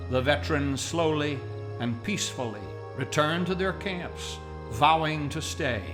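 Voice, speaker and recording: A man's voice: a little high, close to an everyday voice. A man narrating a radio drama from a script.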